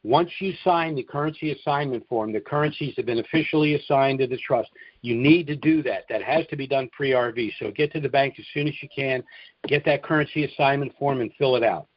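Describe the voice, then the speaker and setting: A man's voice: medium pitch, a little fast. A man hosting a conference call, answering callers with informal, instructive advice and repeating his points emphatically. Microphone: conference call line. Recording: dull, lo-fi conference call audio.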